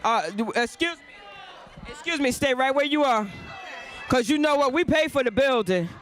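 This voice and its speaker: A woman emceeing a celebration for a large audience, loud and playful, calling out to the crowd over its noise. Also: high-pitched, animated, normal speed. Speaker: host.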